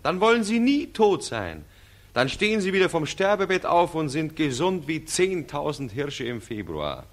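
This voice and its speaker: An otherworldly creature with a low, declamatory German voice, looking down on mankind in mockery. The vintage 1940s radio drama recording has music in it. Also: male voice, a bit bright. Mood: mocking.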